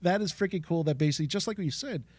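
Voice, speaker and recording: A man co-hosting a podcast in casual chat, excitable and rambling as he talks. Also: on the high side, rapid-fire, no room sound. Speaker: podcast co-host.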